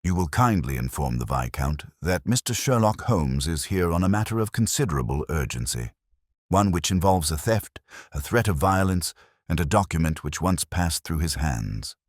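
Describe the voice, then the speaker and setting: A man voicing a calm, implacable detective in an audiobook mystery, with a clipped, authoritative and deliberate delivery. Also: deep voice, no room sound, studio quality.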